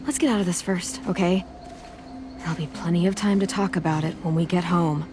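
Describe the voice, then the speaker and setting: A woman playing a caring, steady teenage girl survivor in a video game, speaking with an American accent in a reassuring, dryly teasing way. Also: lowish voice, fairly quick, noisy background, close to an everyday voice. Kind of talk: video game voice acting, casual dialogue. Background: background music.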